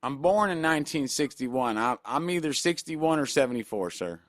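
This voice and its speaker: A man's voice: medium pitch, lively intonation, dry recording, clean studio sound, lightly acted. Man playing a muddled old veteran. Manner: halting, confused, stumbling over numbers. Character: muddled older male army veteran, slow and forgetful.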